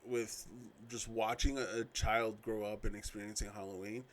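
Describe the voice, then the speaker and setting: Brief: thirties man, podcast host and dad, lowish voice, loose rambling delivery that keeps restarting sentences. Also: flat delivery, moderate pace, slight background noise. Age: 30s. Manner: rambling, self-correcting, loose storytelling. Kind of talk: casual solo podcast reminiscing.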